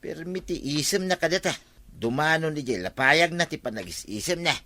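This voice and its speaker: An actor playing a nosy, teasing village husband in an Ilocano radio comedy drama, with an Ilocano accent and emphatic delivery. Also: male voice, a little high, expressive, normal speed, pushed and bright. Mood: insistent.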